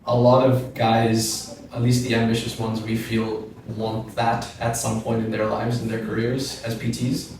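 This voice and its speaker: A man in his thirties, a gym co-founder, talking in a measured, reflective way on a podcast and often stopping to rephrase. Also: medium pitch, monotone, darkish tone, recorded from a distance. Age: 30s. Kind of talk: podcast conversation. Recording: roomy echo, off-mic, faint noise.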